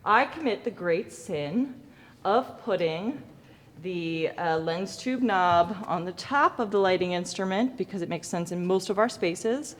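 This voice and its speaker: A woman's voice: on the low side, lively intonation, measured pace. Woman, informal instructor, good-humored, self-correcting, hands-on demo, long room reverb. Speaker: lighting design instructor. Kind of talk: informal hands-on demonstration to students. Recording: echoey reverberant hall, background noise.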